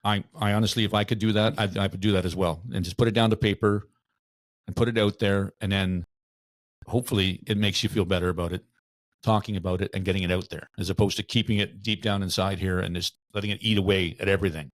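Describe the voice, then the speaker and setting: Low-voiced man co-hosting a dry-sounding chat. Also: steady tone, fast, no room sound. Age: middle-aged. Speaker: podcast co-host. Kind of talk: casual, supportive conversation.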